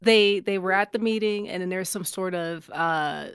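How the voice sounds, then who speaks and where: A woman's voice: mid-range voice, moderate pace, smooth, no room sound. A woman talks casually in a group discussion in a mid-range voice. She is playful and prone to giggling, and the sound is close and dry with little room to it.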